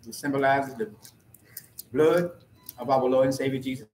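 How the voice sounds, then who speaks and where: A man serving as pastor, reciting a communion liturgy in a slow, solemn, measured way from the pulpit of a church sanctuary. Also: mid-range voice, a little mellow, slight background noise. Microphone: pulpit mic from far camera. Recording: roomy church echo.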